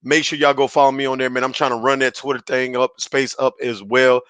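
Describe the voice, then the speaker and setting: A man hosting a casual sports podcast, his voice raspy and hoarse from yelling, talking in a hyped, slangy way on a headset mic. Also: medium pitch, little pitch movement, fairly quick, no echo. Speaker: podcast host.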